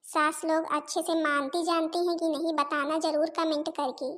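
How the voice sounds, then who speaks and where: Casting: woman acting as a very high-voiced cartoon housewife, put-upon, pleading and talkative. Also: little pitch movement, moderate pace, a bit bright. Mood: chatty. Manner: complaining, pleading, addresses relatives and viewers directly. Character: weary, gossipy Indian daughters-in-law in a family cartoon. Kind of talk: Hindi family comedy cartoon voice acting.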